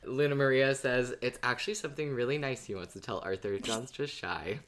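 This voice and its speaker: A podcast co-host with a mid-pitched voice talks casually about fan theories. The delivery is informal, thinking out loud between comments read from listeners. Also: brisk.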